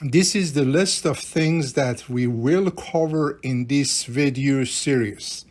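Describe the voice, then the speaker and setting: A man's voice: mid-range voice, takes it slowly, darkish tone. A man giving a casual step-by-step repair tutorial as a hobbyist, plain-spoken and instructive, with non-native-sounding phrasing and dropped articles. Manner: plain-spoken, instructive, often checking with "right?". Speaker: radio repair hobbyist demonstrating a restoration.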